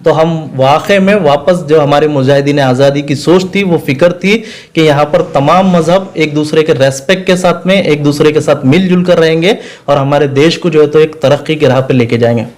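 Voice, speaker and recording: A man's voice: on the high side, moderate pace, few pauses, a little mellow. A man giving earnest, emphatic political answers in an interview, repeating phrases for stress. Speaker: political party spokesman, interview guest.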